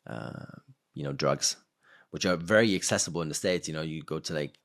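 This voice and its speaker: Very deep-voiced rambling man. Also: brisk. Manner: rambling, filler-heavy, laughs between points. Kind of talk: casual conversation.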